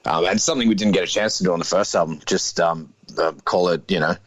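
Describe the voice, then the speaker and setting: A deep, low-voiced man in his thirties, talking as an interview guest. He is laid-back and hedges every point with fillers and "sort of". The sound is dry and close, with no room around it. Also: quick pace, no room sound, lo-fi sound. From Australia. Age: 30s. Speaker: guest musician. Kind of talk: casual interview chat.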